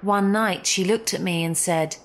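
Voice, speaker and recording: A woman's voice: mid-range voice, measured pace, slight background noise. A woman reading a first-person story as a scripted narrator. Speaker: narrator reading listener stories.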